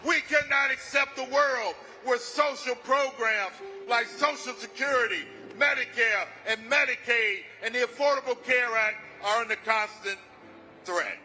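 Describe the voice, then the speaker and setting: An American man delivering a forceful, declamatory rally speech as a union speaker. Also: very high voice, unhurried, low-quality recording. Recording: live rally, faint background noise.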